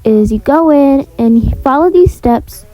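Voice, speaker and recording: A woman's voice: high voice, animated, measured pace, dark tone, no echo, poor audio. A woman giving a casual, rambling step-by-step tutorial voice-over in an informal way. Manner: informal, rambling, repeats filler phrases. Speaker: video creator and host of a tutorial.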